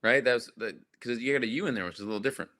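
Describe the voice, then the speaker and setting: A man with a slightly raspy voice hosting a casual livestream chat, rambling warmly with lots of fillers and restarts. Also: mid-range voice, very fast. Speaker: livestream host. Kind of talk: casual live chat with viewers.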